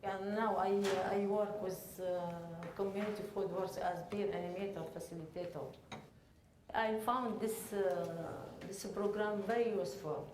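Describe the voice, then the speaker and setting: A woman from Syria, speaking haltingly in simple phrases in a video interview. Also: mid-range voice, steady tone. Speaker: program peer facilitator. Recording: roomy with faint background noise.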